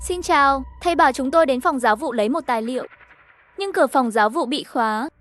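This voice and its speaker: A woman with a clearly high-pitched voice narrates an animated horror tale. She reads scripted lines and the characters' dialogue herself. Music plays behind her voice. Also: fast. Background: background music.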